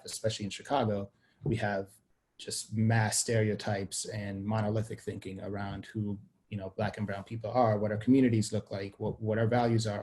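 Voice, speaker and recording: A man's voice: lowish voice, mellow. A young adult man from Sweden, a guest talking on a video call in a thoughtful, expansive way with frequent restarts. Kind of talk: conversational interview on a video call.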